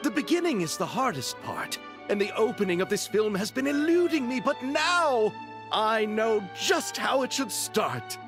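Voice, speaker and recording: A man playing a polite, excitable film director with a flowery, dramatic way of talking in a video game cutscene. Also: sits high, normal speed, over music. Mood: inspired.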